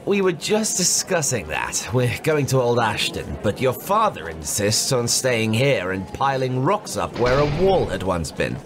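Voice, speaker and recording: A firm, impatient young commander in a fantasy audio drama. His mid-pitched voice moves in lively swings, with music clearly behind it. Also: a little slow, few pauses. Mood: brisk, commanding. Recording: loud music under the voice.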